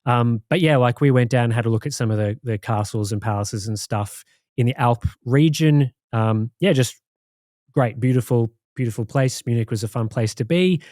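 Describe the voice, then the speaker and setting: An Australian man co-hosting a podcast, recounting things in a rambling, relaxed way with many fillers. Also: medium pitch, normal speed, dry recording, clean studio sound. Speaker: podcast co-host. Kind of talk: casual chat.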